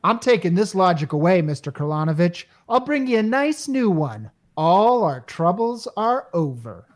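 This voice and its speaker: A man's voice: sits high, very animated, moderate pace. An actor playing a harried, wisecracking repairman with slangy, scheming patter in an old-time radio comedy. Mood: placating, rueful. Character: Caldwell, wisecracking repairman, a harried man scheming to save himself.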